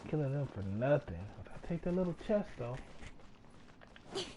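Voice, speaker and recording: A man's voice: on the high side, very animated, moderate pace, dark tone, dull audio, slight background noise. A man giving casual, slangy, offhand commentary while playing a video game. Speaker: gamer, channel host. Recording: game music behind the voice.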